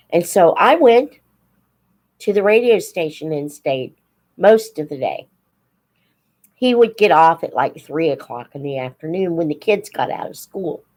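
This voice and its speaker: An American woman in her seventies shares memories in an informal, reflective way. Her voice sits slightly low and she speaks slowly. She often pauses partway through a thought and tells things as a personal story. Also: darkish tone. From United States. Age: 70s. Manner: halting, reflective, pauses mid-sentence, acts out quoted dialogue. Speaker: host of a live Q&A stream, teacher figure.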